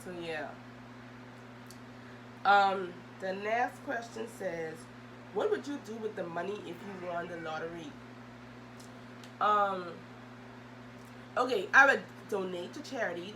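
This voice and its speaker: A woman's voice: mid-range voice, a little slow, long pauses, low-quality recording. A young adult woman, chatting casually and hesitantly as she answers questions for a vlog. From Bahamas. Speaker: video host. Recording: loud humming background noise.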